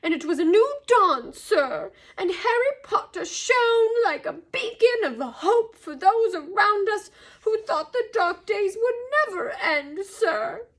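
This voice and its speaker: A woman's voice: very high-pitched, animated, slow pace, hardly any pauses, fairly bright tone, pitched above the performer's own voice, full character voice. A woman voicing a small male house elf for a storybook, servile, fearful and anguished, squealing and moaning as he pleads. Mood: tearful, reverent. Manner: pleading, stammering, tearfully dramatic.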